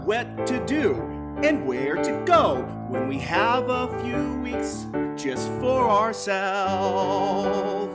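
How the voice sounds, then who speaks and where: A man playing a young French exchange student who sings improvised lines dramatically, over loud piano. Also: high-pitched, lively intonation, drawn-out words, full character voice. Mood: wistful, singing. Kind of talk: musical improv comedy. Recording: loud live piano behind.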